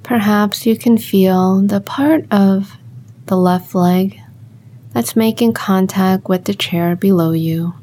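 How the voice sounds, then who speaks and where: Slow, mellow woman guiding meditation. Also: medium pitch, a little hiss or hum. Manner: calm, unhurried, gently instructing with long pauses. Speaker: meditation guide. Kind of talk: guided body scan meditation.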